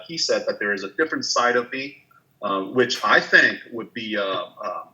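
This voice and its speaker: A man's voice: mid-range voice, sharp tone, rough recording. A business professor from Taiwan, in his forties, giving an online guest lecture with frequent fillers and restarts. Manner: hesitant, many fillers and restarts.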